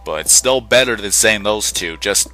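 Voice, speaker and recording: Low, forceful young man, slightly congested. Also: normal speed, faint music behind. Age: young adult. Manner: rambling, self-correcting, conversational. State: slightly sick and congested, short on sleep. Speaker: gaming channel host. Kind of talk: casual rambling channel update.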